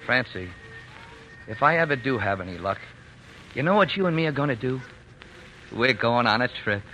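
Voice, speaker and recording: A man's voice: mid-range voice, expressive, moderate pace, pushed and bright, faint music behind. An actor playing a cheerful, dreamy working-class father, fond and boastful, folksy and soothing, in a 1940s radio drama. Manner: folksy, soothing, playfully exaggerating. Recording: faintly noisy 1940s radio broadcast.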